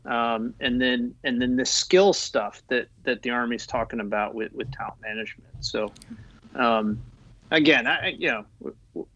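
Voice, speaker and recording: A man's voice: medium pitch, fairly even intonation, normal speed, pushed and bright, highs cut off, slight background noise. A man talking as an Army guest on a podcast, eager and loose, with many fillers and restarts. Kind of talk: conversational podcast interview.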